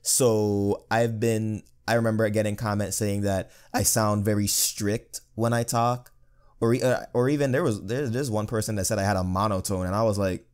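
A young man with a deep, bassy voice that sounds firm even when he is relaxed. His tone is smooth and resonant, in easygoing casual talk. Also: normal speed. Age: young adult. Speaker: guest reviewer. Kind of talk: casual podcast discussion.

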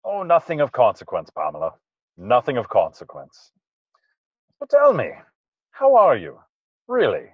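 Actor as very animated, kindly Irishman. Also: medium pitch, unhurried, pauses often, husky, heavily acted. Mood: gentle, concerned. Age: middle-aged. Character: kindly, sympathetic Irish male friend. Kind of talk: period radio drama acting. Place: inside a parked car. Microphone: video call device. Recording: dull lo-fi video call audio.

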